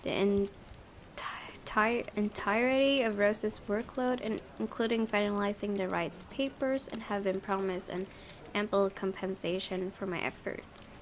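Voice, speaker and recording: A woman doing let's play narration, reading a story aloud expressively with casual, offhand asides. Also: a little slow. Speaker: gaming video host, reading a visual novel aloud. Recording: faint low rumbling background noise.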